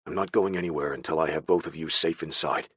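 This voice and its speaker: A man voicing a big, protective, unyielding male hero in an audiobook, deep and calmly resolute. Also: quick pace, husky, dry recording, clean studio sound, full character voice. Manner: calm, resolute.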